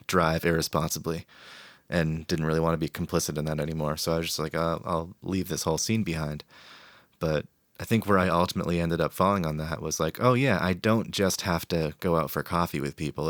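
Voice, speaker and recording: A man's voice: deep voice, a little fast, no room sound, studio quality. A man in a casual podcast panel, reflecting aloud on socializing and drinking, with frequent restarts. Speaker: podcast guest.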